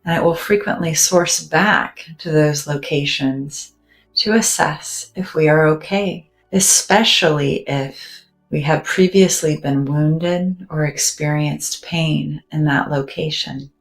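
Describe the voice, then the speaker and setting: A woman with a low, unhurried voice guides a meditation, speaking softly and soothingly with long pauses between phrases. Now and then she sinks almost to a whisper. Faint music plays quietly behind her. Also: low-quality recording. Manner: soft, gentle and soothing, with drawn-out pauses.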